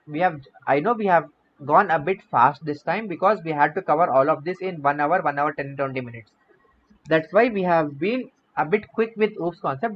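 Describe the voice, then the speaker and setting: Young man teaching code. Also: a little high. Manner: explanatory, repeats and rephrases himself. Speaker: coding bootcamp instructor. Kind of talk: online coding lecture. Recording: slightly dull audio with faint noise.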